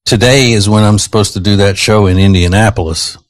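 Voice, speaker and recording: A man's voice: on the low side, fairly quick, no room sound. A male radio host chatting casually on a morning show, his voice slightly strained. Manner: chatty, teasing, rambling. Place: radio studio. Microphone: studio microphone.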